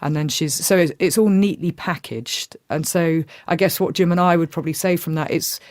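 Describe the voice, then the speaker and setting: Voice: mid-range voice, normal speed, a little mellow, dry recording, clean studio sound. A podcast co-host talking through a case in a thoughtful, hedging way, with many fillers. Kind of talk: casual analytical discussion.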